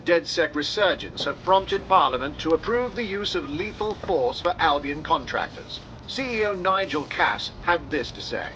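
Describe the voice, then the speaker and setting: A man's voice: fairly high pitch, lively intonation, normal speed, few pauses, pushed and bright, muffled recording, slight background noise. An actor playing a formal male news announcer reading a scripted bulletin in a video game. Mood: formal, grave.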